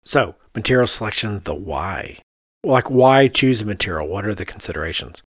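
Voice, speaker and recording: A man's voice: on the low side, lively intonation, normal speed, no echo, clean studio sound. A man co-hosting a podcast, explaining things casually and conversationally, often thinking aloud. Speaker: podcast co-host, architect.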